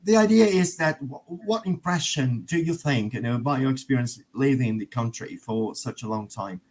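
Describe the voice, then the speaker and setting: A man of ordinary pitch who hosts a relaxed panel discussion. He leads the conversation with questions and habitually checks in with "right?" after his points. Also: normal speed, a little hiss or hum. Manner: guiding questions, tag "right?". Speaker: discussion host.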